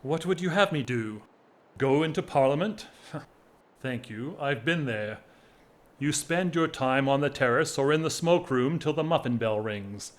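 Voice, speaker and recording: An actor playing a weary, lovelorn upper-class gentleman in a period audio drama, speaking with formal, wistful wryness. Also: mid-range voice, expressive, lightly acted. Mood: wry, world-weary. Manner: formal, wistful, wry, measured speech. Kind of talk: stage-style period radio drama acting.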